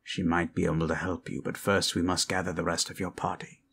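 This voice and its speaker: A man voicing a measured, knowing sage with a formal, dry manner in an improvised fantasy roleplay. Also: low-pitched, flat delivery, fairly bright tone, slight background noise, voice pushed down. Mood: pensive.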